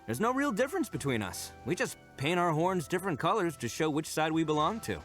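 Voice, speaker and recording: A man's voice: fairly high pitch, big pitch swings, brisk, fairly bright tone, faint music behind. A man playing a proud, brash, earnest young oni gang leader in a video game, speaking emphatically. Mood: earnest storytelling. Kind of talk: scripted video game voice acting.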